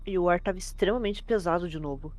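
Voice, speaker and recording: A woman's voice: on the low side, fast, darkish tone. A woman with a Brazilian accent narrating a horror story in casual, colloquial language. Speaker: narrator, host. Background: suspense background music.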